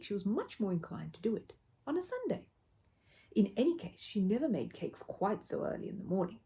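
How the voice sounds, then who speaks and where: A woman narrates a children's audiobook in a mellow, rounded voice. Her medium-pitched delivery is expressive and very varied in melody, as a storyteller's is.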